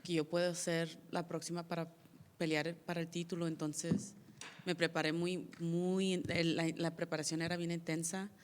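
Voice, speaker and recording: A woman fighter answering press questions in a large room, soft-spoken and halting, often restarting her words. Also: fairly low pitch, flat delivery, a little hiss or hum. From United States. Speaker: MMA fighter at press scrum. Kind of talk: media day interview answers. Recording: reverberant hall.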